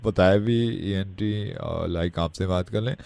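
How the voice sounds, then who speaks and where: A man playing a naive, slow-witted patient on a prank call, hesitant, earnest and deadpan. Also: low-pitched, creaky, clear, resonant tone, dry recording.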